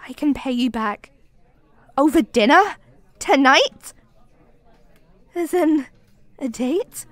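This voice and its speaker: A woman's voice: high-pitched, lively intonation, slow pace, dry recording. A woman playing a clumsy, flustered young barista who stammers and apologizes in an audio roleplay. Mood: shy, hopeful. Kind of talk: ASMR roleplay acting.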